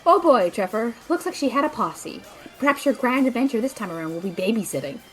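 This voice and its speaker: A woman's voice: fairly high pitch, big pitch swings, brisk, soft background music, rough recording. A woman playing a tech-minded, sarcastic female sidekick who teases dryly, in an audio drama. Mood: teasing. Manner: dry, sarcastic, teasing.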